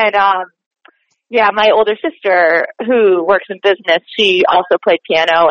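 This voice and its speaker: A woman's voice: mid-range voice, fairly bright tone, no echo. A young woman from Boston, a stage performer, chatting as an interview guest with easy laughs and plenty of fillers. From Boston, USA. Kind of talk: casual interview chat. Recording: dry, slightly dull podcast audio.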